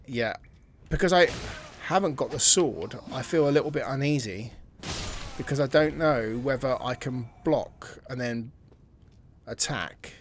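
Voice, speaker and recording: A man's voice: medium pitch, a little slow, a little hiss or hum. A man giving casual, chatty commentary while he plays a video game, thinking aloud and reading tutorial text as he goes. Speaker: gaming channel host.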